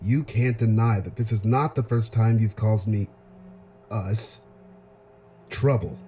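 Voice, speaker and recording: Man playing a stern, dark-toned exasperated boss. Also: lowish voice, slow, slight background noise. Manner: reprimanding, firm. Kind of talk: naturalistic audio drama acting.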